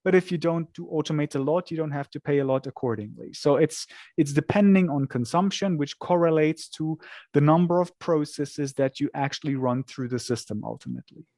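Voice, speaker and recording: A man speaking as a software company representative in a webinar interview, explaining in a measured way and sometimes repeating words as he looks for phrasing. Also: fairly high pitch, dry recording.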